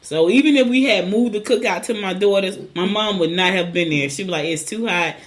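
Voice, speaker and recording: Woman, middle-aged, hoarse, scratchy voice, chatty host, rambling personal stories. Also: mid-range voice, a little fast. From Newark, New Jersey. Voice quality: raspy, scratchy voice. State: hoarse, clearing throat. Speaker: podcast host. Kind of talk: casual morning show chat.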